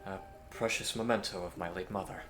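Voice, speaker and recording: A man playing a courteous, earnest young Victorian gentleman hero in a scripted audio roleplay, with formal, hesitant phrasing. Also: sits low, fairly even intonation, brisk, over music, natural voice. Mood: courteous, solemn.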